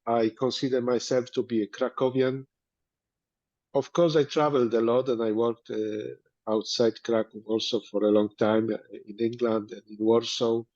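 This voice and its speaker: A man from Poland with a Polish accent, speaking in an interview as a guest and pausing often with fillers and restarts. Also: mid-range voice, level tone, a little mellow, highs cut off. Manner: hesitant, many fillers and restarts. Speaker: museum director, guest. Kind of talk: interview, casual talk.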